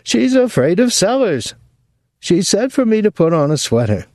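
A man doing a shrill, whining old mother. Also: sing-song, moderate pace, a little mellow, no room sound, clean studio sound. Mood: complaining. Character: fretful complaining elderly mother, older woman.

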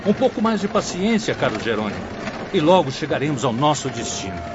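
An actor playing a calm, reassuring spirit guide in a radio drama. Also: male voice, a little high, a little fast. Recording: muffled, clear outdoor-like background noise.

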